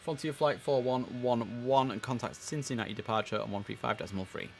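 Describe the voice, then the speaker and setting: A man's voice: medium pitch, brisk, creaky, faint music behind. Chatty man streaming an air traffic game. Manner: chatty, muttering asides between clipped formal instructions. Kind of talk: live game stream commentary mixed with radio-style controller calls.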